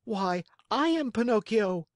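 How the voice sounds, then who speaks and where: A storyteller voicing an emotional young wooden puppet boy in a high, childlike, pleading voice. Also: expressive, unhurried, no room sound, clean studio sound, close to an everyday voice. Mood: crying, surprised. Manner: emotional, wailing, eager.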